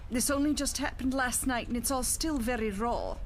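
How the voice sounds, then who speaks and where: A woman's voice: on the high side, moderate pace, slight background noise, close to an everyday voice. A woman playing a middle-aged doctor and ex-wife in a motion comic, sardonic and wry. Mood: grave, raw. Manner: sarcastic, wry. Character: sardonic, bitter Scottish doctor, mother and ex-wife.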